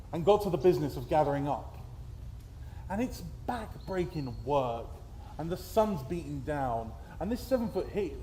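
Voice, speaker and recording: A British man performs his own spoken-word poems at a live event, with a theatrical, deliberate storytelling delivery and dramatic emphasis. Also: fairly high pitch, darkish tone. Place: live at a festival event in a room. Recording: roomy, echoey live event, background noise.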